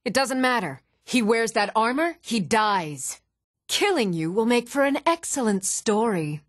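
Even-toned fantasy townswoman, dry close sound. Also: fairly high pitch, no echo. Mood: stern, threatening. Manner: measured, even-toned, clearly enunciated. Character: even-toned young Nordic townswoman and warrior in a fantasy game. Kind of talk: scripted video game dialogue.

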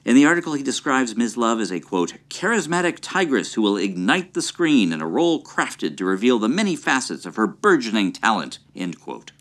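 A man's voice: mid-range voice, sing-song, fairly quick, few pauses, lightly acted. A man playing an enthusiastic, verbose B-movie buff who gives gleeful, pompous documentary-style commentary in an audio drama. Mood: knowing, amused.

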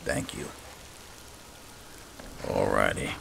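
A man doing improvised in-character roleplay commentary over a video game, muttering as he talks to himself. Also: drawn-out words. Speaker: gaming channel host. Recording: clear background game noise.

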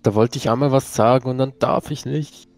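A man's voice: mid-range voice, fairly even intonation, brisk, a little mellow, no room sound. A man chatting casually as a player in an online tabletop game. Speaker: tabletop role-playing player.

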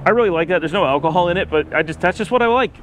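A man's voice: high-pitched, expressive, very fast, fairly bright tone. A middle-aged man chatting casually in a walking vlog, rambling with lots of fillers and restarts, filmed outdoors on a city street with a camera held at arm's length. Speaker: travel vlogger. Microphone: handheld action camera at arm's length. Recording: faint outdoor street noise.

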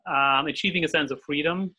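Austrian-accented man with a bright voice. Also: a little high, brisk. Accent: Austrian German. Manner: hesitant, many fillers and restarts. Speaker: guest illustrator. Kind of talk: casual interview. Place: home room at a desk. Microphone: video call. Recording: dull video call audio.

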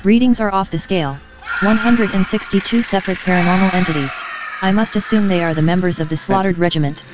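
A woman's voice: medium pitch, fast talker, over music, natural voice. A woman playing a precise, unflappable paranormal investigator in an audio drama, clinical and matter-of-fact.

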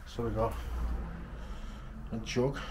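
A man's voice: medium pitch, level tone, takes it slowly, background noise. A man narrating a walkthrough of an abandoned house in an offhand, chuckling way, recorded on a handheld camera indoors. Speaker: urban explorer vlogger. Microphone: camera mic, handheld walkthrough.

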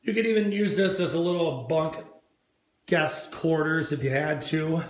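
Hoarse Minnesotan man, outdoors on a phone. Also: on the high side, normal speed, no room sound, low-quality recording. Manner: rambling, earnest pep talk. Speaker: real estate agent. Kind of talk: live property tour vlog. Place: outdoors on a lakeside deck. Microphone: phone held at arm's length.

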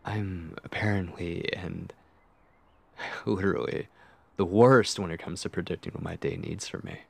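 Man voicing a flustered obsessive boyfriend, low gravelly voice, halting and stammering, intimate ASMR roleplay. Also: steady tone, exaggerated voice. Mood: nervous. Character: nervous, lovestruck, obsessive young man on a date.

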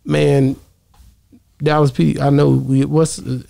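A man's voice: medium pitch, flat delivery, slow, vocal fry, warm tone. A man around his thirties, chatting casually as a podcast host and insistently repeating himself. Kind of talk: casual chat. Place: small podcast studio, indoors. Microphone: close studio microphone on arm.

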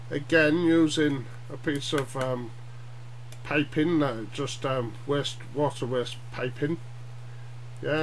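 A man's voice: mid-range voice, creaky. Middle-aged man explaining, noisy humming recording. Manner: halting, tag questions like "yeah?" and "eh?". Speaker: hobbyist radio demonstrator. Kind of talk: informal explainer vlog. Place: small cluttered room at home, indoors. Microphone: webcam at arm's length. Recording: noisy webcam audio with hum.

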